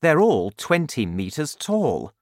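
A man's voice: fairly high pitch, wildly swooping pitch, slow pace, no room sound, clean studio sound, pitched above the performer's own voice, heavily acted. A man voicing a blunt, doubtful military air force chief in a storybook reading.

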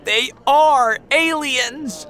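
A man voicing a bossy, alarmed police officer in a storybook, shouting commands. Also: very high voice, extremely slow, voice pushed up, heavily acted. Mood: alarmed, insistent.

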